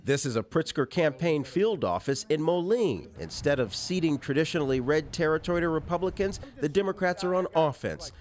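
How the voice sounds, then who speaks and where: Animated male TV news reporter. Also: a little high, brisk, few pauses. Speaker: political TV reporter. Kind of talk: scripted news narration.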